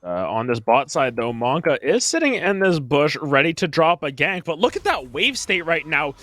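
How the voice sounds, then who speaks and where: A man giving live play-by-play commentary on an esports match, animated, with frequent filler pauses. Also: a little high, no echo.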